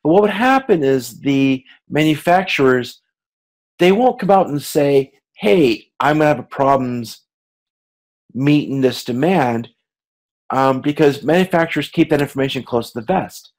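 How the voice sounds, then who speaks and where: Man giving an informal, halting lecture. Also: mid-range voice, lively intonation. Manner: informal, halting delivery with repeated words and self-corrections. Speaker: university lecturer. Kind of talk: slide lecture, explanatory.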